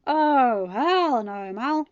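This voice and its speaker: A woman voicing a bossy but caring young woman in a story, with a brisk, teasing delivery, on a slightly dull recording. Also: sits high, animated, clear, resonant tone, dry recording, heavily acted.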